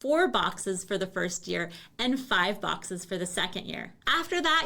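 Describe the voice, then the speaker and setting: A woman presenting a scripted explainer as a company representative, speaking in a clear, instructional way straight to the listener. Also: fairly high pitch, a bit bright. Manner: clear, instructional, addressing the viewer directly.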